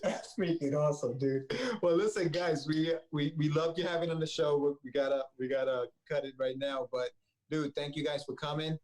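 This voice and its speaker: Easygoing podcast host, slightly high voice. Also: male voice, steady tone, moderate pace, a little mellow, low-quality recording. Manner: easygoing, laughs often, frequent fillers. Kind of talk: casual chat.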